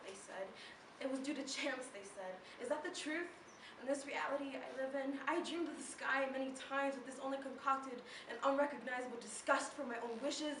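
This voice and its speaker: Teen female slam poet, high voice, solemn measured phrasing, echoey live recording. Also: fairly bright tone. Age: teenager. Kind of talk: slam poetry performance. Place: live poetry event, recorded from a distance. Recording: distant echoey room recording with noise.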